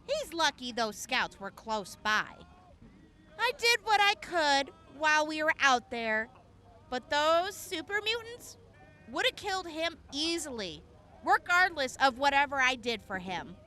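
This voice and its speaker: Very high, slow, animated sardonic woman medic acted. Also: full character voice. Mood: dry, matter-of-fact. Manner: hesitant, wry, casual. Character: blunt, sardonic, reluctant young woman field medic. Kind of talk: audio drama acting.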